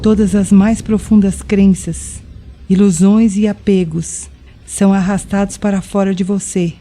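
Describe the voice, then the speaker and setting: A Brazilian woman narrating a guided meditation in a deliberate, soothing way, leaving pauses between phrases. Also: medium pitch, little pitch movement, mellow, slight background noise. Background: music with rain and thunder sounds.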